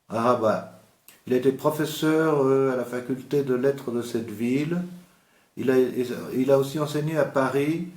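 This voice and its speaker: A man giving an unscripted literary talk about an author as the host, slow and halting, with many hesitations and restarts. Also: medium pitch, darkish tone, roomy sound.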